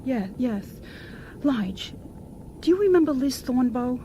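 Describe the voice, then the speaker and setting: Anxious, high-voiced wife. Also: female voice, lively intonation, slow pace, warm tone, a little hiss or hum. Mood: nervous. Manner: hesitant, breathless, pleading. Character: anxious, guilt-ridden wife confessing to her husband. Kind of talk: radio drama acting.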